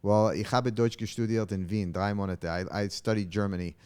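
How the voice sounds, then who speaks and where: Deep, smooth-voiced male interviewer. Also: fairly even intonation, a little fast, darkish tone. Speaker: talk show host, interviewer. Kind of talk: casual interview conversation.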